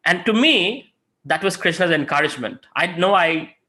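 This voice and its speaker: A man's voice: high voice, moderate pace. A man in his thirties, not a native English speaker, giving a sermon through personal stories. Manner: storytelling, animated, rising to emphatic peaks. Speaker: guest speaker.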